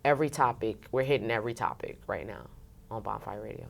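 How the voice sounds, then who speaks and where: A woman's voice: rumbling low, fast talker, creaky. A woman chatting casually and expressively as an interview guest, with slangy turns of phrase. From Brooklyn, New York. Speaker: interview guest, radio host.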